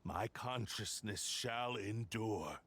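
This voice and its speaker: An actor voicing an arrogant, obsessed cursed sword in a video game, speaking in grandiose, menacing declarations. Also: male voice, lowish voice, slow, vocal fry, fairly bright tone, a little hiss or hum, full character voice. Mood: defiant, furious.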